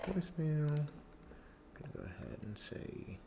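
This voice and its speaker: A man's voice: on the high side, very animated, slow pace, warm tone, dull audio, slight background noise. A man working as a real estate agent, making polite follow-up calls and talking through his day, switching between English and Spanish as a learned second language. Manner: polite and courteous, says ma'am.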